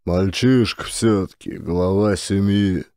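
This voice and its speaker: A man's voice: low-pitched, takes it slowly, a little mellow, dry recording, studio quality. A man voicing a boorish, self-assured wrestler in a satirical audio story, drawling heavily and bluntly. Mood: blunt, pushy.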